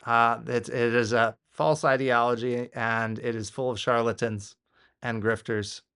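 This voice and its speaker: An American man reacts off the cuff as a political commentator. His voice sits at a medium pitch, and he talks haltingly, circling back over his points with exasperation. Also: little pitch movement, a bit bright, clean tone.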